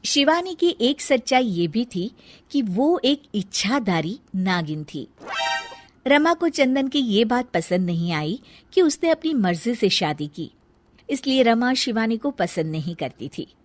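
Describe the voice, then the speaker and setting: A woman narrating a cartoon story in a calm, scripted storytelling manner. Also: a little high, a little slow, no room sound, soft background music.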